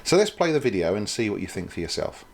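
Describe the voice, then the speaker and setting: A man's voice: fairly low pitch, very animated, fast, creaky, very noisy. A man presenting an informal product demonstration, explaining things in a hesitant, thinking-aloud way.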